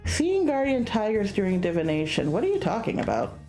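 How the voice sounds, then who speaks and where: A traditional middle-aged father from a visual novel game, acted out by a woman. He is blunt and questioning, with a lively, moving intonation over background music. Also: mid-range voice, a little fast. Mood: puzzled, questioning.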